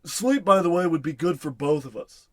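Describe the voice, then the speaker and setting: A man's voice: on the high side, expressive, normal speed, a bit bright. An actor playing a cocky, bossy salesman in a parody old-time radio comedy, patronizing and oblivious. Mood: dismissive, patronizing.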